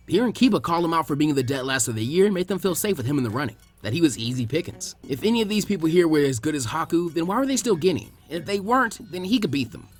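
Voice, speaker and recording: A man reading a story aloud as expressive scripted narration. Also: a little high, breathy edge. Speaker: narrator of fan fiction readings.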